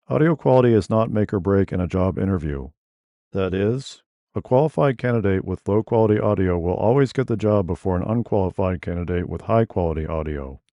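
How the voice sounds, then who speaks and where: A man with a strong New England accent giving a scripted explainer in a formal, monotonous, deliberate way. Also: lowish voice, dry recording, clean studio sound. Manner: measured, formal, deliberate delivery. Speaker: tech educator.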